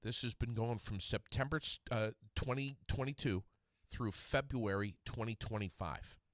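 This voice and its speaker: A man hosting a radio talk show, chatting casually and reading out a news story with animated emphasis. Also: lowish voice, moderate pace, no echo, clean studio sound.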